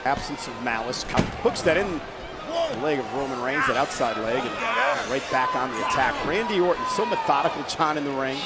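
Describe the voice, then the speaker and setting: A man calling a wrestling match play-by-play, excitable and exclaiming. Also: a little high, moderate pace, creaky, very noisy. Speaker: wrestling commentator. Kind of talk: play-by-play commentary.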